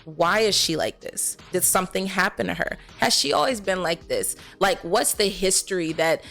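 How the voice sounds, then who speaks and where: American woman, lowish voice, actress chatting casually in an interview, music underneath. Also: moderate pace, gravelly, a bit bright. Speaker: film actress being interviewed. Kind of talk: casual conversational interview answers.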